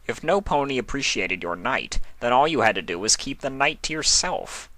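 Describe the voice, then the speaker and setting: A man's voice: medium pitch, very animated, normal speed, bright, forceful tone, no room sound, voice pushed up. Man as mocking god of chaos, sarcastic, flippant, theatrical, storybook villain, close dry sound. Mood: teasing.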